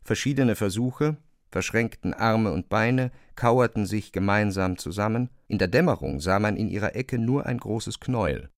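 A man with a low voice reads literary prose as narrator. The sound is close and very dry, like a studio recording with no room around it. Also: moderate pace, dry recording. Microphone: close, dry studio microphone.

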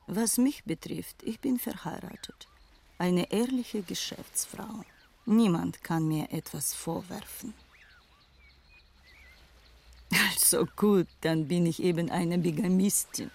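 Proud former madam, world-weary, lowish pitch, unhurried drawn-out delivery, disdainful, wistful, film dub. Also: female voice, gravelly, darkish tone. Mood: disdainful, defensive. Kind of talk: dubbed film thriller dialogue.

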